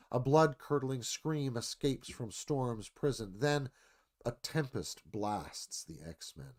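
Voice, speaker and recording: A man's voice: medium pitch, a little mellow. A man with a mid-range voice serves as narrator. He reads scripted stage directions and scene headings for a radio theatre performance.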